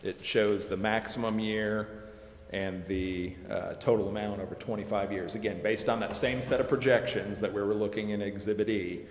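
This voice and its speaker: A man explaining finance details at a city committee meeting, measured and full of fillers and restarts. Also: fairly low pitch, steady tone. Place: council chambers. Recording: echoey chamber with long reverb, faint noise.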